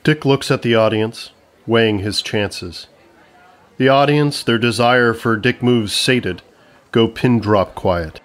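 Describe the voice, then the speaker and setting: A man's voice: mid-range voice, slow pace. A man narrating a scripted comedy story in the present tense.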